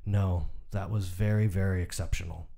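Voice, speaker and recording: A man reading the part of a gentle doctor father, subdued as he reassures his young son in a literary story. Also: low-pitched, fairly even intonation, normal speed, creaky.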